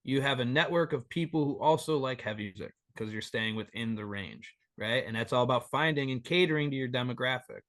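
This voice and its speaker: A rambling, animated man in his thirties, speaking as a guest over a remote call. He swears freely and keeps a mid-range pitch, and the recording is dry and close with no room echo. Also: fairly quick, few pauses. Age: 30s. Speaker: band member guest. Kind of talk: casual interview chat.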